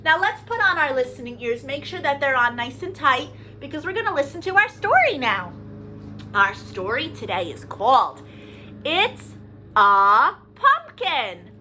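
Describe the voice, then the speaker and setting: A woman hosting story time for young children, animated and sing-song, talking to them with questions and encouragement. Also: sits high, unhurried, a little hiss or hum. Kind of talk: children's storytime read-aloud with singing and asides.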